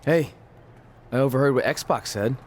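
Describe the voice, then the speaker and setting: A man's voice: medium pitch, moderate pace, a little hiss or hum, close to an everyday voice. A man playing an upbeat young indie wrestler, telling his career story in a conversational, wry way for a video game. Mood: encouraging. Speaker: narrator and character. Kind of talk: scripted game cutscene narration and dialogue.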